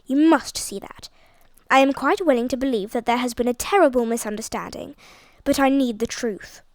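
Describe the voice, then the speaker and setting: A woman voicing a calm police inspector. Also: high voice, no room sound, clean studio sound. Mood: measured. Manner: calm and measured.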